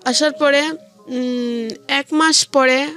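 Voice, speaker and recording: Female interview guest, Bangladeshi Bengali accent, high voice, halting colloquial storytelling, faint music underneath. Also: steady tone, bright, forceful tone. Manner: colloquial, halting, repeats phrases.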